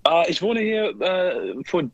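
Male musician, English native speaker, halting learner's German with English fillers, dry studio sound. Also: high-pitched, big pitch swings, no room sound, dull audio. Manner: halting, many restarts, mixes English fillers, laughs often. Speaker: musician guest. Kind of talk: casual interview in learner's German. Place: radio studio.

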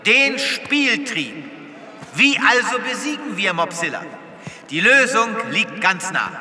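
A man's voice: high-pitched, big pitch swings, slow, sharp tone, full character voice. A man playing an earnest, heroic space veterinarian in a comedic sci-fi radio play, declaiming theatrically with grand pathos. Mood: dramatic. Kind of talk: comedic sci-fi audio drama acting. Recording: long echoing hall reverb.

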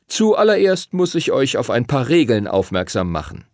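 A man's voice: mid-range voice, very animated, moderate pace, no echo, studio quality, pitched above the performer's own voice. A man voicing a small, friendly library master in a storybook, solemn and instructive with a playful streak. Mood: strict, instructive.

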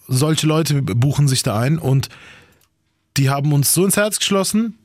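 A man's voice: fairly high pitch, lively intonation, normal speed, no echo. Slangy man in casual chat, dry sound. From Germany. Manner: slangy, rambling, says "Bro" often. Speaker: rapper.

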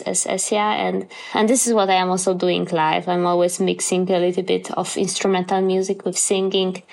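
A young woman with a Polish accent talks through her musical work in an interview. She speaks English as a second language, pausing often with ums and starting sentences over. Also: mid-range voice, gravelly. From Poland. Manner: hesitant, many fillers and restarts. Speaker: musician guest. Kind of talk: interview answers.

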